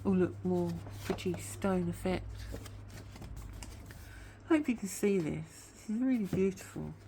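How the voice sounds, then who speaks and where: A woman with a somewhat low voice talks through her craft work at home in a loose, rambling way. A sore tooth gives her voice a slightly whiny edge, and a faint hum sits underneath. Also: animated, measured pace, vocal fry, warm tone. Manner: chatty, rambling, admits to waffling. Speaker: craft vlogger. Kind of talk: casual craft show-and-tell chat.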